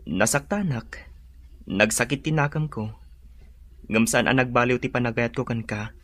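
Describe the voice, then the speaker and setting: Guy playing sentimental young suitor in a radio drama, medium pitch, really earnest and tender, dry sound. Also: moderate pace, fairly bright tone, no echo, close to an everyday voice. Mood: pleading, lovestruck. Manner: sincere, pleading, sentimental.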